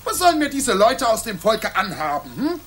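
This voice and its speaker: Haughty, high-voiced teenage boy emperor. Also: big pitch swings, normal speed, a little hiss or hum. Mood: mocking. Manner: imperious, condescending. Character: haughty, impatient fifteen-year-old boy emperor. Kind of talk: German historical radio play acting.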